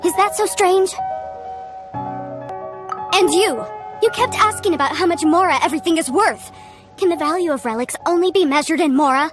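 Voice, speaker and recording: A woman's voice: very high voice, normal speed, pauses often, faint music behind. An actress playing an earnest, indignant young female archaeologist in a video game, arguing defensively and with idealism.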